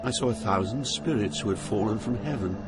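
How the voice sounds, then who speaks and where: A grave older British poet played by a man recounts a journey through hell in an audio drama. His low voice is solemn and measured, over loud music. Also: natural voice. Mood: tense recollection. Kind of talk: scripted dramatic narration.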